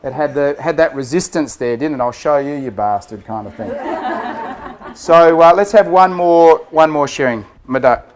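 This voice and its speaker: A man's voice: a little high, expressive, normal speed. A man running a storytelling workshop for a group, speaking casually and often checking in with "okay?" and "right?". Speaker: workshop facilitator. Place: room with a group of people.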